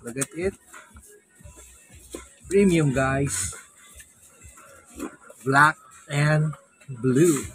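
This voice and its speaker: A man's voice: on the high side, very slow, long pauses, a bit bright, faint music behind, poor audio. A man in his thirties with a Filipino accent, giving a casual, playful product review for a vlog into a phone camera. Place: open-air beach hut at night, later inside a tent.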